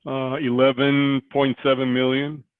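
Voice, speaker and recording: Man on a tinny call line, flat voice. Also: mid-range voice, a little slow, dry recording. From Costa Rica. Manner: hesitant, many fillers and restarts. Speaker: guest real estate investor. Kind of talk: conversational interview. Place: small office indoors. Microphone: video call.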